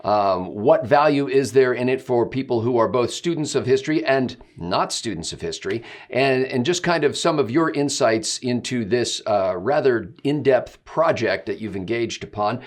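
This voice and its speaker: A man's voice: medium pitch, expressive, moderate pace, few pauses. A man working as an interviewer, putting unscripted questions in a roundabout, hesitant way.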